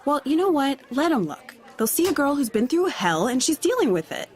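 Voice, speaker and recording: An actress playing an outspoken, loyal teenage school friend in an audio drama, protective and assertive in manner. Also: female voice, high voice, fairly quick, a faint crowd. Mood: protective, urgent. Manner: animated, assertive. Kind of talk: naturalistic audio drama acting.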